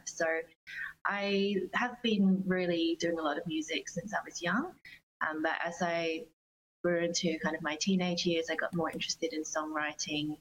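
A woman's voice: low-pitched, a bit bright, a little hiss or hum, rough recording. A woman with an Australian accent, chatting casually and earnestly as an enthusiastic interview guest. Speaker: interview guest, singer-songwriter.

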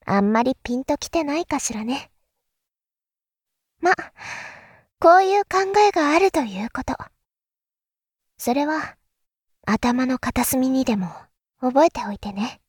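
A woman playing a calm, enigmatic elder sister in an audio drama, speaking in a deliberate, philosophical, teacherly way. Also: sits high, slightly rough, no echo, clean studio sound, full character voice. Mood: gentle, knowing. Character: calm, enigmatic elder sister musing darkly about world affairs. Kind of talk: Japanese audio drama acting.

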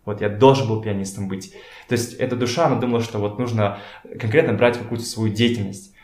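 A man talking casually as a podcast guest, telling stories in a halting way as he searches for words. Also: fairly low pitch, fairly even intonation, a little mellow. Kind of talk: casual conversational storytelling. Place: indoors in a home. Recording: echoey room.

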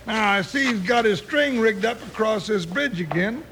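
An actor playing a jolly, excitable cowboy sidekick with a folksy rural twang in an old-time radio western. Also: male voice, normal speed. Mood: gleeful, scheming. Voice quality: high-pitched voice with rural twang. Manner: folksy drawling cowboy talk. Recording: noisy old radio recording.

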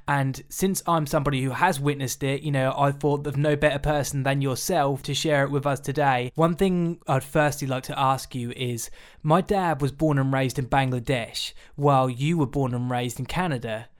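A man's voice: mid-range voice, steady tone, moderate pace. A young man hosting a podcast, asking questions with enthusiasm and plenty of "you know" and "like". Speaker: podcast host. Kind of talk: casual interview.

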